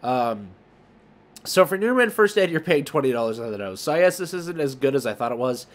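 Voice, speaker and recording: A man's voice: mid-range voice, sing-song, brisk. A man speaks in a mid-range voice as the host of an online market rundown. His commentary is casual and loose, wandering a bit as he thinks aloud while looking things up. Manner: rambling, thinking aloud while browsing listings. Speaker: host of a trading card market video. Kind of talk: casual screen-share commentary.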